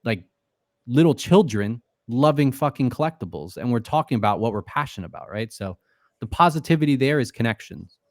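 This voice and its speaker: A man's voice: medium pitch, expressive, a little fast, no echo, clean studio sound. A man talking as a podcast guest in loose, casual speech, full of fillers and swearing. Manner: loose and profane, many fillers. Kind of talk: casual interview talk.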